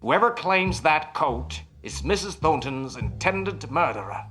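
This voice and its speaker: An actor playing a self-assured nightclub mind reader in an old-time radio mystery, with a formal, emphatic, measured delivery. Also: male voice, a little high, lively intonation, bright, forceful tone, soft background music. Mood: grave, confident.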